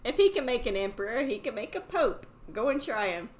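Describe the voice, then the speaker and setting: A woman's voice: fairly high pitch, expressive, a little fast, natural voice, low-quality recording. A woman voicing a demanding, impatient fisherman's wife from a storybook, with an imperious manner. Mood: scornful, insistent. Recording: faint background noise.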